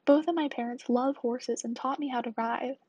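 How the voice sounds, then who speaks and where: High, soft-husky young woman. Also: fairly even intonation, normal speed, dry recording, lo-fi sound. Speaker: student storyteller. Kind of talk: first-person scripted monologue as a 1920 stable groom.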